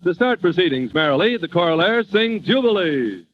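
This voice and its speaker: A man's voice: sits high, big pitch swings, moderate pace, loud music behind the voice. A man announcing a 1940s radio comedy show in a polished, showmanlike style. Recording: muffled old radio recording.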